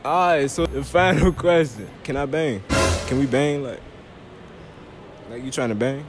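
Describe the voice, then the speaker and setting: A man's voice: mid-range voice, a little slow. A young man hosting a prank street interview, teasing and laid-back with slang. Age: young adult. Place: indoor mall and outdoor college campus. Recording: music playing behind.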